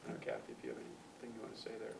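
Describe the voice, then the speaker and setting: A man with a low voice chairs a public meeting, halting and restarting as he answers a resident. He sounds distant from the mic in an echoey room with light background noise. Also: big pitch swings, gravelly. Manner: hesitant, with many restarts. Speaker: commission chair.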